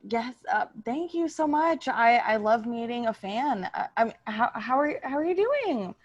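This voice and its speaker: A woman's voice: on the high side, lively intonation, measured pace, dull audio. A woman playing a gushing, self-absorbed TV starlet in improvised comedy, effusive and earnest, often repeating herself. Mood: gracious, gushing.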